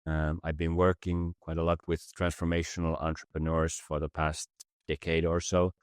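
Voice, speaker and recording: Halting Finnish man, deep flat voice. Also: clear, resonant tone, dry recording, clean studio sound. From Finland. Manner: halting, many word repeats, thoughtful. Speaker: podcast guest, business facilitator. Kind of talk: reflective conversation.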